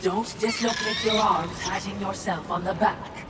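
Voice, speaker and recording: A woman's voice: mid-range voice, steady tone, moderate pace, very noisy, close to an everyday voice. An actress voicing a sly, sarcastic female demonic spirit in a video game, servile on the surface but mocking and melodramatic. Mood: sly, sardonic. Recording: echoey, distant, loud music behind.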